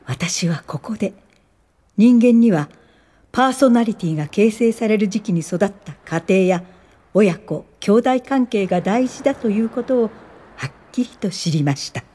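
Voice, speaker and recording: An actress playing an older woman recounting her life in a radio drama, reflective and measured. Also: medium pitch, expressive, mellow, natural voice. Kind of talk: scripted first-person radio drama narration.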